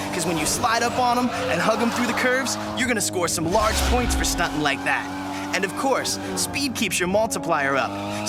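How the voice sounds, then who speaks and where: Casting: brash, streetwise American racing coach for a video game, higher-pitched voice, hip slangy delivery, loud music underneath. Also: male voice. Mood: cocky, coaching. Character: cocky streetwise male street racer coaching a newcomer. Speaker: video game tutorial narrator. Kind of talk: slangy scripted game narration.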